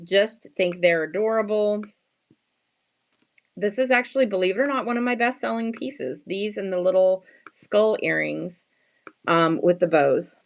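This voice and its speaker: A woman with a slightly hoarse, sleepy morning voice at a medium pitch hosts a casual live craft sale. She chats directly to viewers in playful, slangy words. Her manner is self-deprecating and informal. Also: unhurried. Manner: chatty, greets and thanks viewers often. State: just woken up, sleepy.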